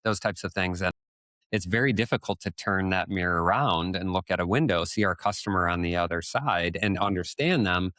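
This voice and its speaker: Man, fairly deep voice, dry close sound, co-host, conversational, long thinking-aloud sentences. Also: fairly quick, pushed and bright, no room sound. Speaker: podcast co-host. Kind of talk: conversational commentary leading into questions.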